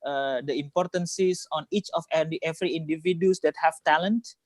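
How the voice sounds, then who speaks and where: A man with an Indonesian accent talking casually on a video call, halting and often repeating his words. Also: a little high, no room sound. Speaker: host. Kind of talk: casual reflective conversation.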